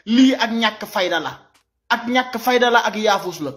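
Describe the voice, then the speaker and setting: A man in his thirties with a Senegalese accent giving impassioned, informal video commentary, animated and repeating his points as he exhorts listeners. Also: sits high, measured pace. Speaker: social media commentator. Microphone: phone at arm's length.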